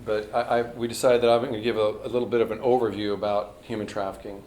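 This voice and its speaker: A man's voice: fairly low pitch, flat delivery, fast talker, darkish tone, a little hiss or hum. A man who directs a nonprofit, starting an informal talk to an audience with hesitant restarts. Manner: hesitant, restarts, self-deprecating. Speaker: nonprofit executive director, speaker. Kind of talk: informal presentation. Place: college event room. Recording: echoey room.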